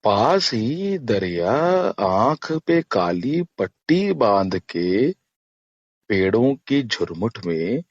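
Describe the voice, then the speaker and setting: A man reciting a poem as a guest, measured and lingering with pauses, husky, over dull lo-fi audio. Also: on the low side, very animated, no room sound. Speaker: guest poet. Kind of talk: poetry recitation of an Urdu-Hindi nazm.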